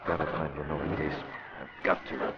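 A dull, faintly noisy old radio drama recording of a determined crime-fighting newspaperman. His voice is low, earnest and urgent. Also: fairly even intonation, normal speed. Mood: grave, determined.

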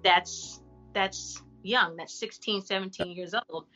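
A woman, an actress and artist, giving frank, animated advice in a casual podcast interview. She says she has a deep voice for a woman. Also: takes it slowly, highs cut off, soft background music.

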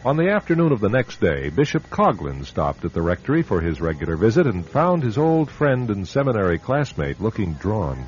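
Low-pitched, animated male radio announcer. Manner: storytelling, measured dramatic delivery. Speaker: announcer and narrator. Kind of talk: scripted suspense radio narration. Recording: dull old radio broadcast.

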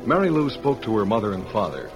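Lowish-voiced male radio narrator over music. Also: very animated, fast. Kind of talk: scripted old-time radio narration.

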